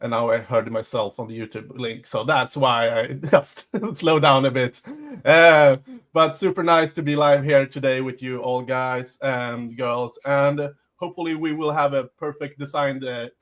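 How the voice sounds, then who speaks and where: A man's voice: medium pitch, little pitch movement, a little slow, fairly bright tone. A man hosting a live webinar Q&A, talking with many hesitations and fillers. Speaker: host.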